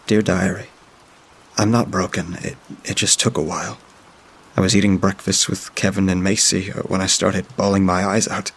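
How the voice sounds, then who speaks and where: A man narrating as a frightened young child keeping a diary, speaking haltingly with frequent filler sounds. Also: deep voice, flat delivery, a little hiss or hum. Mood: hushed, halting. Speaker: storyteller. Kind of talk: first-person diary reading.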